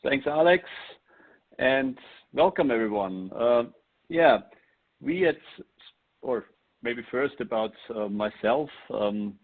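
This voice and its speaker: Middle-aged Austrian presenter, husky voice, halting delivery with restarts, thin phone-like sound. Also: male voice, medium pitch, expressive. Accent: Austrian German. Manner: halting, searching for words, frequent restarts. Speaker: webinar presenter. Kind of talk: unscripted webinar talk. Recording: tinny phone-like line.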